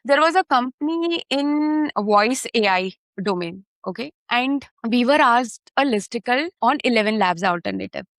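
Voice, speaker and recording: A woman's voice: fairly high pitch, lively intonation, normal speed, hardly any pauses, a bit bright, no echo. A woman guest on a podcast who explains her work through anecdotes and often checks in with her listener. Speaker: podcast guest, content marketer. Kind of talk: podcast interview.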